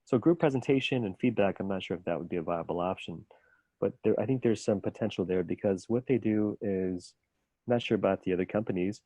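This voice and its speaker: Casting: low, mellow male coach, measured delivery, dry close sound. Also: slightly rough, no room sound, highs cut off. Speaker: business English coach. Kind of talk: casual work conversation.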